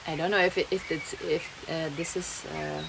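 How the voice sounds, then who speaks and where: Brief: young German-accented woman, lower voice, rambling casual vlog chat, rain noise behind. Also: steady tone, moderate pace, vocal fry. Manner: rambling, chatty, laughs often, slips German words in. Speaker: vlogger. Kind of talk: casual monologue to camera. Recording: noisy background, rain heard.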